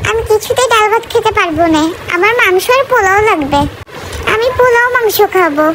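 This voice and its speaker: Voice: very high-pitched, expressive, brisk. A performer voicing a melodramatic, demanding, hot-tempered wife in a comic cartoon, in a theatrical way. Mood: whining, demanding. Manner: wailing, scolding, theatrical. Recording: noisy cartoon soundtrack.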